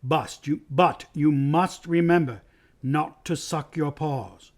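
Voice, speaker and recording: A man voicing a courteous young high king in a storybook reading, grave, measured and kindly firm. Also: steady tone, darkish tone. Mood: gracious, firm.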